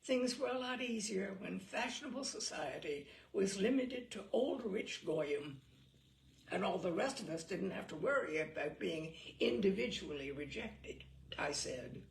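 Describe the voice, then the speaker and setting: Wry woman reading with lively, gravelly voice. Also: mid-range voice, unhurried, a little hiss or hum. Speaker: host and reader. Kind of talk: reading a humorous essay aloud. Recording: echoey room.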